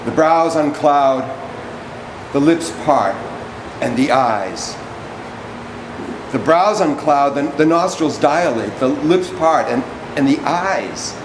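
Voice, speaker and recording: A man playing a servile, wordy orator in a stage drama, reciting poetic text in a halting, deliberate, declamatory way. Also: on the high side, animated, background noise. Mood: solemn, descriptive. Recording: echoey room with music behind.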